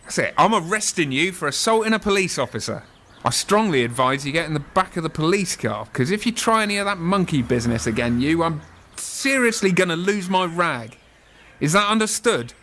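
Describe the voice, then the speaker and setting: Man playing an exasperated policeman, swooping pitch. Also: big pitch swings, a little fast, pushed and bright, a little hiss or hum. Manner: stern, sarcastic, officious. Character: exasperated, sarcastic male police officer. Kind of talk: radio drama acting.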